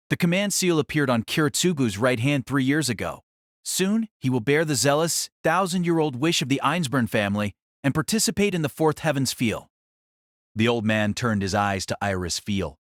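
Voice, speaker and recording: A man's voice: mid-range voice, no echo, studio quality. A man narrating a light novel in a dramatic, scripted storytelling style.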